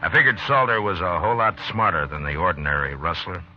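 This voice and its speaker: Deep-voiced, steady frontier lawman. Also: quick pace, full character voice. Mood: wry, steady. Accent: American. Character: steady, weary frontier lawman, adult man, fair-minded. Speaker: actor and first-person narrator. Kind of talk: 1950s radio western acting. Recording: dull old radio recording, music behind.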